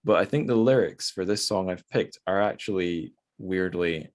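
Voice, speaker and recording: Deep-voiced man hosting a casual podcast. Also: normal speed. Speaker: podcast host. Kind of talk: casual literary discussion.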